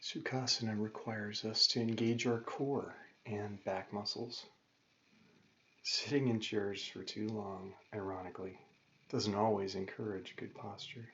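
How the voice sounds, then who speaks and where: A man leading a guided meditation as a yoga teacher, calm and gentle, giving measured instructions with pauses. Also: fairly low pitch. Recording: dull lo-fi recording with faint noise.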